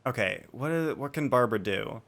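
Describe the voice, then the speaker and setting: A man's voice: medium pitch, animated, brisk, gravelly, fairly bright tone. A man livestreaming a video game, chatting casually and rambling as he thinks aloud. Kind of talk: casual live gaming chat and commentary.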